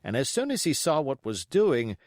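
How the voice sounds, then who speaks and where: Expressive male narrator, very animated pitch. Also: normal speed, dry recording, clean studio sound. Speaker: audiobook narrator. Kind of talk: expressive scripted storytelling.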